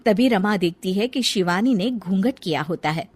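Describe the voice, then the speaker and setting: A woman's voice: mid-range voice, animated, moderate pace, dry recording. A woman narrating a scripted family folk tale as a storyteller.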